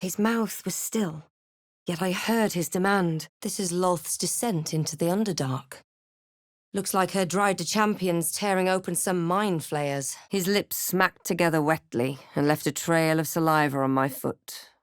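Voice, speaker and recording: A woman voicing a snarky, brooding adventurer hero in a fantasy video game, deliberate and theatrical with dry wit. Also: fairly low pitch, dry recording, lightly acted. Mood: wry, snarky. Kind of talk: fantasy video game voice lines, narration and barks.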